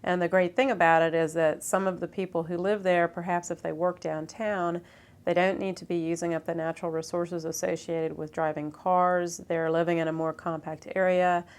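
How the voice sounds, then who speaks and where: A woman speaking as a local official, explaining in measured, earnest phrasing in an interview. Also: lowish voice, level tone, smooth. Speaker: city commissioner, interviewee.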